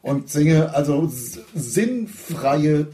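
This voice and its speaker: A man's voice: fairly high pitch, lively intonation, extremely slow, dark tone. A man co-hosting a casual, humorous chat and reading out listener questions in a joking way.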